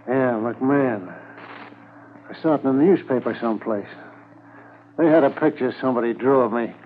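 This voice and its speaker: An actor in a 1950s radio drama playing a tired, sneering wounded bank robber under arrest. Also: male voice, medium pitch, moderate pace, natural voice. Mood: weary, brooding. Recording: lo-fi 1950s radio transcription, faint hiss.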